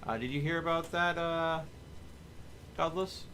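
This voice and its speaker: A man's voice: a little high, unhurried, pushed and bright. A man giving casual live commentary as he streams a video game. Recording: clear background noise.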